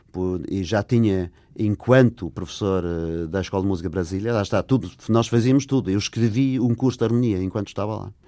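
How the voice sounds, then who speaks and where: A man's voice: low voice, warm tone, no room sound. An older man from England, speaking Portuguese as a learned language, answering interview questions hesitantly with many fillers. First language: English. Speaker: guest. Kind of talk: interview conversation.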